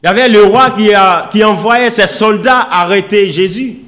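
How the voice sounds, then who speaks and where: A man preaching a sermon in an oratorical, emphatic manner, with deliberate pauses and repeated phrases. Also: high voice, fairly bright tone. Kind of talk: sermon with scripture readings and rhetorical questions.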